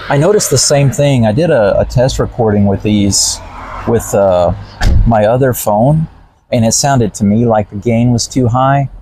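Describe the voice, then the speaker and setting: A man's voice: mid-range voice, a little slow, warm tone, recorded from a distance, a little hiss or hum, low-quality recording. A man with a neutral American accent, talking casually and rambling, repeating words as he chats with viewers on a live stream. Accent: neutral American, Southern accent deliberately dropped. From Southern United States. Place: in a car, then inside a warm cafe. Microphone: phone mic.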